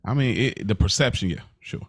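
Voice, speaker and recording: A man in casual podcast conversation, earnest and halting, repeating phrases for emphasis. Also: lowish voice, animated, no room sound, clean studio sound. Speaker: podcast co-host.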